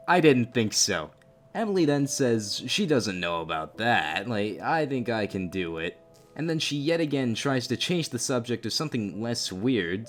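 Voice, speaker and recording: Male commentary host, lively swinging pitch, expressive and openly appalled, reading chat logs over a music bed. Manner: exclamatory, openly disgusted asides. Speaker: YouTube host narrating a story. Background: background music.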